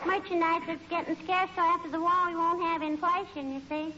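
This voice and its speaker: Very high-voiced cheeky little girl. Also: level tone, fairly quick, crowd noise. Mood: earnest, precocious. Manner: childish, chatty, repeats tags like "I bet you". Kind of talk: 1940s radio comedy acting. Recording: dull, noisy 1940s radio recording.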